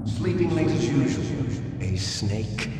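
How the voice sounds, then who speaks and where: An arrogant, cruel game villain. He taunts in a measured, theatrical sneer with wide swings of pitch. His voice rings with reverb through a large space, with loud dramatic music behind. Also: sing-song, a little hiss or hum. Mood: grimly defiant. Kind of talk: dramatic video game cutscene acting. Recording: echoing hall, long reverb.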